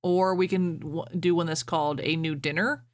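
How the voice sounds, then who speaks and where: A woman with a fairly low voice streams to her viewers. She chats casually and reads stories aloud. The sound is dry, with very little room in it. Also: fairly even intonation, normal speed, no echo. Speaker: streamer reading fan fiction to viewers. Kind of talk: casual livestream chat and reading aloud.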